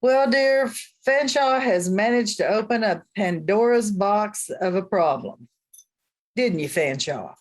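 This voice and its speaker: Radio play witch matriarch female actor: soothing, patronising, quietly menacing, very animated intonation. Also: medium pitch, takes it slowly. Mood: patient, firm. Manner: soothing, condescendingly sweet. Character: motherly, gently menacing small-town witch high priestess. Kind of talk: radio comedy-drama dialogue.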